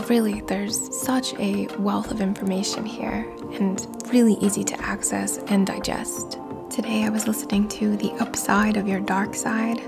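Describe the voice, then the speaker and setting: Whispering ASMR woman with creaky edges. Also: medium pitch. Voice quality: breathy whisper. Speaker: video host reading a sponsor message. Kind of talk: whispered ASMR sponsor read. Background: music.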